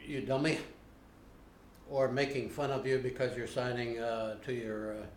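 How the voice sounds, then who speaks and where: An older man being interviewed, recalling his past in a plainspoken, unhurried way with frequent pauses. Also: mid-range voice, level tone, slight background noise. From United States. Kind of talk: unscripted recollection. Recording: roomy home video recording.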